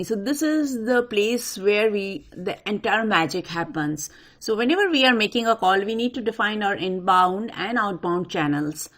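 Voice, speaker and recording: A woman presenting an unscripted software tutorial, talking the viewer through each step in an instructional way. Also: mid-range voice. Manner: instructional, addresses viewer directly. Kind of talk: step-by-step screen walkthrough, unscripted.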